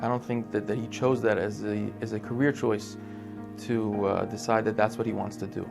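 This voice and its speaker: Gravelly young man. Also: lowish voice, little pitch movement, moderate pace. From Brooklyn, New York. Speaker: teacher trainee. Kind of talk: testimonial interview. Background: guitar music.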